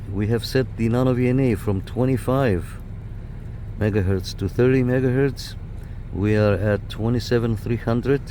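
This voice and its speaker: A man with a fairly low voice hosts a casual hands-on review. He talks informally, straight to the viewer. It is recorded on a handheld camera out by a parked car, with noticeable noise behind him. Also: warm tone. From Canada. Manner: informal, addresses viewers directly. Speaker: video host reviewing radio gear. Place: outdoors in a parking lot and inside a parked car. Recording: noisy outdoor recording with car sounds.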